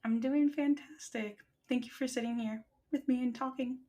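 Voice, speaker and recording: A woman's voice: fairly high pitch, steady tone, normal speed, dark tone. A woman hosting a podcast, talking casually with her colleague and filling her speech with "like" and "kinda". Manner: chatty, fillers like "like" and "kinda". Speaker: podcast host.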